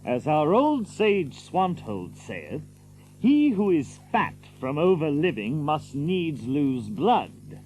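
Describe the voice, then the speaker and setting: An actor playing a bold, hot-tempered outlaw leader in an archaic audio drama, with a blustering, declamatory manner. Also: male voice, fairly high pitch, big pitch swings, slow, darkish tone, a little hiss or hum, low-quality recording. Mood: commanding.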